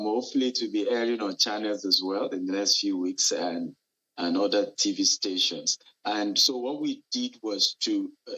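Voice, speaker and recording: Earnest Nigerian-accented man, bright raspy voice. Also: on the low side, poor audio. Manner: earnest, animated, frequent restarts and fillers. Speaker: entrepreneur guest. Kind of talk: live TV interview, remote. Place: Dubai.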